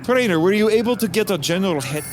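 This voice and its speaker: High, animated ship's officer. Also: male voice, fast. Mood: puzzled. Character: earnest, dutiful male executive officer aboard ship. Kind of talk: audio drama acting. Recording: clear background noise.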